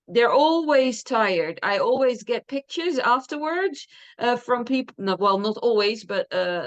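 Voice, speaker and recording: A woman with a Dutch accent talks at an unhurried pace as an interview guest. She sounds enthusiastic and chatty, laughing often and filling pauses with "uh" and "um". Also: mid-range voice. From Netherlands. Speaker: dog trainer guest. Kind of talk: casual interview chat.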